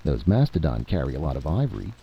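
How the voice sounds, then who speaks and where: Male narrator as scheming practical adventurer, argumentative, folksy, low gravelly voice, dull recording. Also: big pitch swings, fast talker, a little hiss or hum, heavily acted. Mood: pushy.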